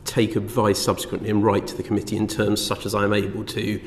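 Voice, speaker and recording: A man's voice: on the low side, completely flat delivery, fast. A man, a government minister, giving evidence in a courteous, cautious, deferential way. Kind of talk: formal evidence to a committee. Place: parliamentary committee room. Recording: echoey hall with faint noise.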